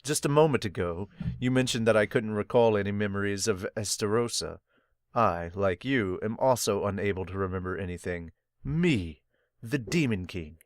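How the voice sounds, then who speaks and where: A man voicing an ancient, grim male demon king who speaks in a slow, grave, commanding way. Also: fairly low pitch, dry recording, studio quality, natural voice.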